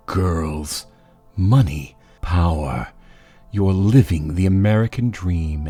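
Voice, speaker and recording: A man's voice: low-pitched, a little mellow, slightly rough, heavily acted. A man voicing a gloating, menacing, talkative supervillain in a comic book dub, with a taunting, condescending drawl. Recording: faint music behind.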